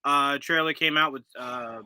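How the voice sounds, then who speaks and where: A man's voice: mid-range voice, steady tone, moderate pace, sharp tone, smooth, dry recording. A man co-hosting a casual live reaction chat, joking and teasing, and laughing often. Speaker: co-host guest.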